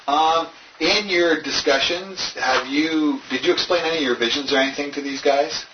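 A man runs a tabletop game as its game master, talking casually to the group. His voice comes from some distance in an echoey room. There is clear noise throughout the recording. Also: on the high side, moderate pace. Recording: muffled, noisy, echoey, far from mic.

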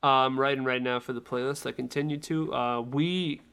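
Bright-voiced man talking film. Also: medium pitch, fairly even intonation, normal speed, gravelly, clean tone. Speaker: film podcast co-host and critic. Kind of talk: casual film discussion.

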